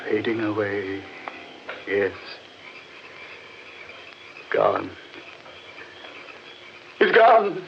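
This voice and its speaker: A stubborn, rational traveler lost in a frightening forest, speaking slowly and earnestly. The style is theatrical 1940s radio horror, heard through a muffled, noisy old recording. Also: male voice, fairly low pitch, big pitch swings, creaky, full character voice. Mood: shocked.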